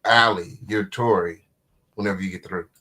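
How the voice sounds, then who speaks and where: A man's voice: low voice, fairly even intonation, moderate pace, bright, forceful tone. Mocking male stream host. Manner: mocking, crude, keeps repeating his catchphrases. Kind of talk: live-stream commentary.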